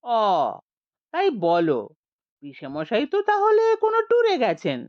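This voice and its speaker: A woman's voice: fairly high pitch, huge pitch swings, unhurried, dry recording, lo-fi sound. A woman voicing an easygoing young village nephew who talks in a teasing, casual way.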